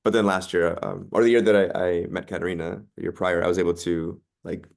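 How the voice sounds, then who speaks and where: Man, 30s, very deep and gravelly, laid-back podcast guest. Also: a little fast. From Canada. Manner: relaxed, many ums and likes. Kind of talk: casual interview chat.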